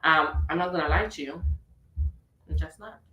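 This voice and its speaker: A woman's voice: deep voice, moderate pace, sharp tone, reverberant, lo-fi sound. A woman from Trinidad with a Trinidadian accent, hosting a casual talk radio chat in a chatty, playful way. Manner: chatty, playful, laughs easily. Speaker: radio show host.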